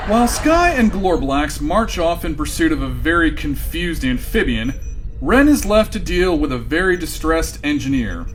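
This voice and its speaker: An actor playing a wry, theatrical narrator of a scripted sci-fi comedy radio drama. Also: male voice, fairly high pitch, sing-song, normal speed, a little hiss or hum. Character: wry, theatrical male storyteller of space misadventures.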